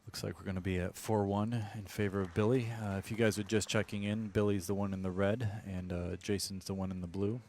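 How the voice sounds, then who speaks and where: A man's voice: fairly low pitch, level tone, fairly quick, hardly any pauses, a little mellow, a little hiss or hum. A man commentating a pool match live, explaining shot choices and position play to viewers.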